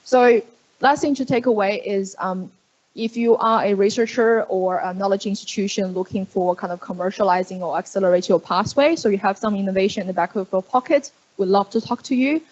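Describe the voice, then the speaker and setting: A woman's voice: a little fast, darkish tone, lo-fi sound. A woman giving a conference presentation, speaking with many fillers and restarts. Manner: hesitant, many fillers, upbeat pitch. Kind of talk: conference talk. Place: conference room.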